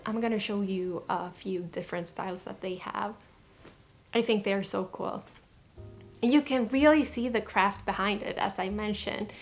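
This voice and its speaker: Chatty woman vlogging. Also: mid-range voice. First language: Swedish. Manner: enthusiastic, chatty, with frequent restarts. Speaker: fashion vlogger. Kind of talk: casual chat to camera.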